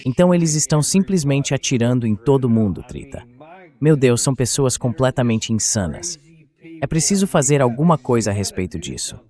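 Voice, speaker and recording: A man's voice: mid-range voice, big pitch swings, a little fast, dark tone. A man's AI-generated voice dubbing an interview host, asking questions in a conversational way. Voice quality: synthetic AI voice.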